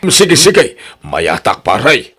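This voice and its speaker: A man's voice: mid-range voice, wildly swooping pitch, takes it slowly, sharp tone, full character voice. A man playing a lovesick old suitor in a radio drama, stammering and persistently pleading, with an Ilocano accent. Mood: eager.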